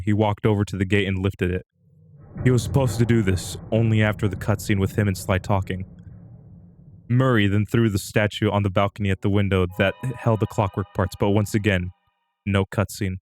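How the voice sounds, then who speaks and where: Male livestream narrator, fairly low register, reading amateur horror fiction aloud, slight noise behind. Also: fairly even intonation, brisk, darkish tone. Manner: breaks off into amused asides.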